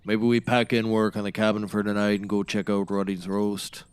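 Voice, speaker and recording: A man's voice: lowish voice, monotone, moderate pace, smooth, no echo, lightly acted. A man playing a brash, scheming, theatrical sidekick in a scripted audio comedy, bossy and mock-grand as he teases. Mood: scheming, excitable.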